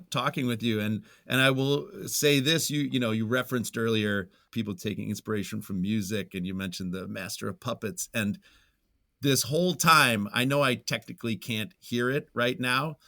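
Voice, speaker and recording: A man's voice: medium pitch, sharp tone, no room sound, clean studio sound. A man speaking as an interview guest in casual conversation, searching for his words and chuckling now and then. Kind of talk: casual book-recommendation chat.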